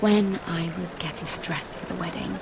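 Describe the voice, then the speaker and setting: An actress playing an anxious, gossipy young handmaiden in a game, speaking in a plaintive, confiding way. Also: female voice, on the low side, normal speed, background noise, lightly acted. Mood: confiding, earnest. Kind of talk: dramatic game cutscene acting.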